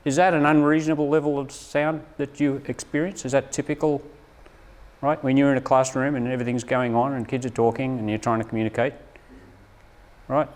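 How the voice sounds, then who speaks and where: A man's voice: mid-range voice, level tone, fairly quick, darkish tone. A man presenting a live workshop to teachers, explaining things and often checking in with "right?".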